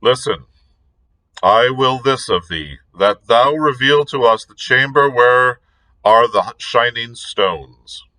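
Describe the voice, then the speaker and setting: A man's voice: medium pitch, lively intonation, pushed and bright, voice pushed up, rough recording. A man voicing a stern young king who speaks in grave, formal old-fashioned language. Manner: solemn, measured archaic speech.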